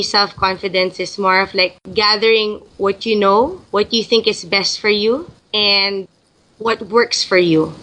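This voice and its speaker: Bright-voiced chatty woman from the Philippines. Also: mid-range voice, poor audio. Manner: chatty, thinking aloud with many restarts. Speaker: guest. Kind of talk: casual interview answers.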